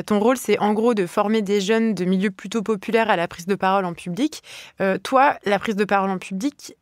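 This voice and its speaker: A woman's voice: mid-range voice, dry recording, studio quality. A woman hosting a podcast, asking guests probing questions with some hesitation. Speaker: podcast host. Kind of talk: interview questions.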